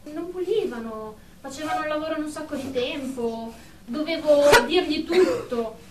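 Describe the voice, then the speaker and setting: A woman's voice: high-pitched, normal speed, natural voice. An actress playing a haughty, easily offended professional woman in a radio drama, speaking in a formal, superior, indignant manner. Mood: self-satisfied. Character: haughty, prim, easily offended female office professional in therapy. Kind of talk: Italian radio drama acting. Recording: echoey room, distant mic, background noise.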